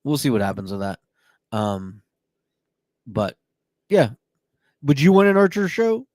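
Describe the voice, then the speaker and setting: A man's voice: medium pitch, big pitch swings, measured pace, a little mellow, no echo, studio quality. A man hosting a podcast, chatting casually and joking, with frequent fillers. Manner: playful, jokey, many fillers. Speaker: podcast host. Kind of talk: casual chat.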